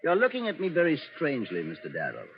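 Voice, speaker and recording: An arrogant Nazi colonel, muffled old radio. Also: male voice, on the high side, huge pitch swings, quick pace, vocal fry, heavily acted. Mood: stern. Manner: pompous, condescending, formal. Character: cold, arrogant Nazi colonel, ruthless and domineering. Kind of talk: 1940s radio acting. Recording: muffled, noisy vintage radio broadcast.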